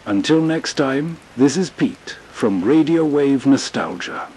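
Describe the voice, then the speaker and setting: A man with a husky voice narrating gently and reflectively as a soothing, scripted nostalgia show host. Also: medium pitch, lively intonation, takes it slowly.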